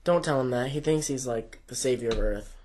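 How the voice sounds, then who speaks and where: A young man co-hosting a casual chat show, animated and quick with asides, recorded on a desk mic in a small home studio. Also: medium pitch. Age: young adult. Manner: animated, talks with his hands, quick asides. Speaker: co-host. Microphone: desk condenser mic.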